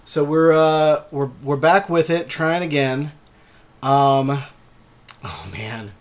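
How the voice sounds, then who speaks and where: A man's voice: on the high side, slow. Casual, loose-talking man. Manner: loose, swearing, restarts often. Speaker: podcast co-host. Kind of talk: casual chat about card drafting.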